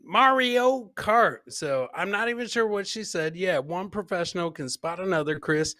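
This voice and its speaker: A man with a high, forceful voice hosts a comedy podcast, riffing casually on clips. He rambles jokingly and circles back to the same catchphrases, animated and exclamatory. The sound is close and dry. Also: moderate pace, dry recording. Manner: rambling, jokey, repeats catchphrases. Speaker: host of a live comedy podcast. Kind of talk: casual live reaction commentary on funny clips.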